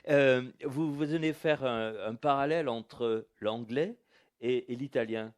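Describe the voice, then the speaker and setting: A man interviewing an author in a bookshop, asking long, halting questions full of restarts. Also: medium pitch, darkish tone, clean tone.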